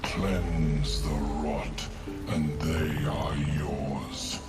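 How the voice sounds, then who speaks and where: An ancient mushroom ruler, with an abyssally low, droning voice. Every pronouncement comes out extremely slowly, solemn and grim, as in a fantasy game. Loud music plays behind. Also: male voice, level tone, heavily acted. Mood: grim, vengeful. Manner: slow, terse, ceremonial pronouncements. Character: ancient mushroom sovereign, grieving, vengeful, solemn. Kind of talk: video game voice acting.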